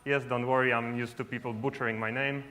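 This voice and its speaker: Bright-voiced man giving a talk. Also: medium pitch, level tone, fast. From Poland. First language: Polish. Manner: casual, peppers sentences with "right?". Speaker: conference speaker. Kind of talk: conference talk. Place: large lit stage.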